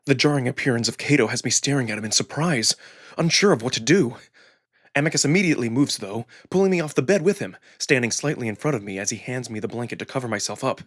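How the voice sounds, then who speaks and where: A man with a husky voice reading first-person fiction aloud as a dramatic narrator. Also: mid-range voice, fast talker, a bit bright, dry recording, clean studio sound. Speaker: narrator and performer of a visual novel reading. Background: background music.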